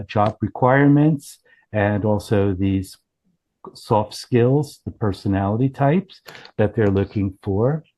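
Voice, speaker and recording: A man presents his company's product in an informal, explanatory way. His voice sits on the low side and has a very soft, dark tone. He often backs up to rephrase as he goes. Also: rough recording.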